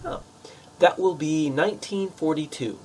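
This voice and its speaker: A man's voice: a little high, measured pace, breathy edge, low-quality recording. A man acting as a nervous, polite pizza shop manager in a radio play, speaking hesitantly and warily. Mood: wary. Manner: hesitant, wary.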